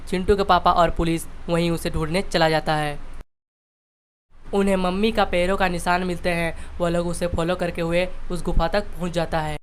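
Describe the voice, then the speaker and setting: A narrator reading a scripted cartoon story. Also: medium pitch. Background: background music.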